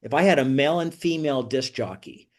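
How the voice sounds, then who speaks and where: An older man hosts an informal online group session in a mid-pitched voice. He rambles from point to point and often asks the listeners rhetorical questions. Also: brisk. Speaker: host of an online career coaching group meeting. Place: online video call.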